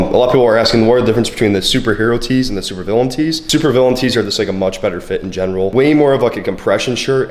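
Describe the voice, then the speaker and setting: Congested laid-back young man vlogging. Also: fairly low pitch. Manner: laid-back, profane, full of "like" fillers. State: congested, slightly hoarse from a cold. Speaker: fitness vlogger. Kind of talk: casual vlog chat. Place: bedroom at home, then a gym.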